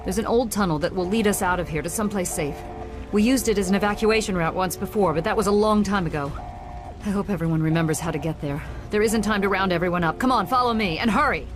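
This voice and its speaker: An actress playing an urgent, earnest scientist in a video game, speaking insistently. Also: female voice, mid-range voice, fast talker, music in the background, lightly acted. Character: urgent, earnest female scientist leading an escape. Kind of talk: dramatic video game acting.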